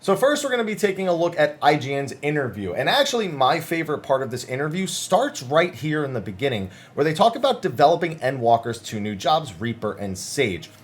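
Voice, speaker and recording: A man's voice: a little high, sing-song, a little fast, hardly any pauses. A man hosting a gaming news video, talking through written interviews in a chatty, conversational way with casual asides.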